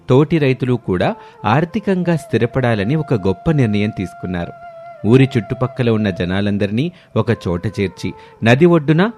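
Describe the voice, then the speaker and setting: A man's voice: medium pitch, a little mellow. A man narrating a scripted moral story in the manner of a storyteller.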